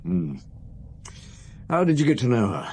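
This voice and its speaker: An actor playing a patient police chief inspector in a radio drama, asking measured, steady questions. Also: male voice, medium pitch, slight background noise. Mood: probing. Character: calm, probing male police chief inspector.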